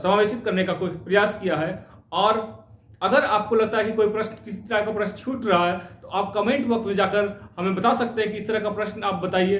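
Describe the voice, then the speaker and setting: A man's voice: sits high, distant mic. A man teaching an exam-prep maths lesson, working through each problem step by step and repeating phrases as he goes. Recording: echoey room, voice off-mic.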